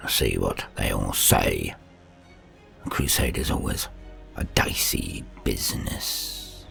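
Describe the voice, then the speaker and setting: A man playing an old, ruthless warlord commander with a gravelly, husky voice and dry amusement, in a sci-fi audio story. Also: extremely low pitch, lively intonation, takes it slowly, a bit bright, faint music behind, voice pushed down, heavily acted. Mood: dryly amused.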